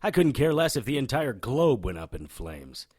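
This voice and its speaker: An actor playing a cocky, sardonic occult investigator in an audio drama, with a wry, taunting delivery. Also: male voice, medium pitch, very animated, normal speed, darkish tone, husky, no echo, studio quality. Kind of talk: audio drama acting with noir-style narration.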